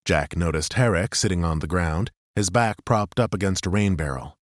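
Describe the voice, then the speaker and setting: Male narrator, low voice, steady storytelling, fantasy audiobook, dry studio sound. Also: normal speed, no room sound.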